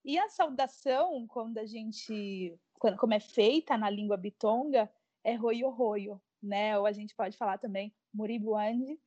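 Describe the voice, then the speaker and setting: Slow-paced, higher-voiced woman co-hosting a podcast. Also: dark tone, no room sound, muffled recording. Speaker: podcast co-host. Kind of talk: casual conversational hosting.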